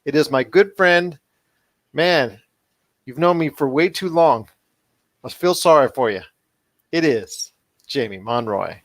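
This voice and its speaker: A man with a slightly high voice hosts a pop podcast in an upbeat, bantering way. He is wordy and circles back to repeat his phrases. The sound is close and dry. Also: takes it slowly, pauses often, no room sound. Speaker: podcast host.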